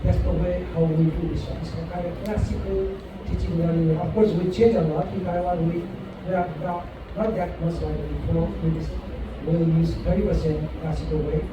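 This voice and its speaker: A man's voice: on the high side, steady tone, normal speed, far from the mic, noisy background. A man moderating a classroom talk, explaining in a plain way. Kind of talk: classroom discussion. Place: university classroom. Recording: echoey room from afar, dull sound.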